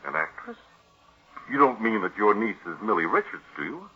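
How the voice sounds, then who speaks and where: Animated, deep-voiced earnest doctor. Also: male voice, normal speed, husky, heavily acted. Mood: polite, curious. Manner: earnest, formal, pleading. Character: earnest, cautious family doctor, urging and deferential. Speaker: physician. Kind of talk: 1940s radio acting. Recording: muffled vintage radio drama recording.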